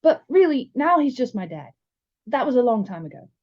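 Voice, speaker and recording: A woman's voice: a little high, sing-song, moderate pace, voice pushed up. A woman voicing a modest, friendly young wizard boy in a storybook, casual and understated. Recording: slightly dull, lo-fi sound.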